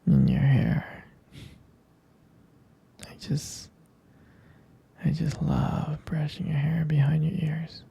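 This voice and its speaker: A man playing a tender, adoring boyfriend in an intimate romantic ASMR roleplay, whispering softly, gently and hesitantly. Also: a little high, huge pitch swings, slow pace, long pauses, exaggerated voice. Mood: tender, sincere. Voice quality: soft, breathy whisper with gravelly creak.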